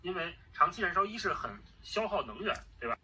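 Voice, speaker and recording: A man's voice: high voice, big pitch swings, fairly quick, bright, forceful tone. A man casually retelling and explaining a story in conversation. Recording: distant playback with faint noise.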